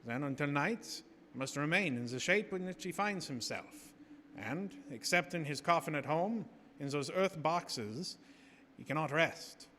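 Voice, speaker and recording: A grave vampire hunter, ringing hall. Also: male voice, fairly high pitch, animated, pushed and bright, slight background noise. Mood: grave, urgent. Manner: solemn, emphatic, oratorical, measured. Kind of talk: theatrical live radio drama acting. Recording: live theater stage, reverberant hall, music behind.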